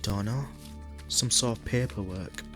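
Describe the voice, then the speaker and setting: A man playing a steady, matter-of-fact police officer in an audio drama. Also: on the low side, unhurried, faint music behind. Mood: businesslike.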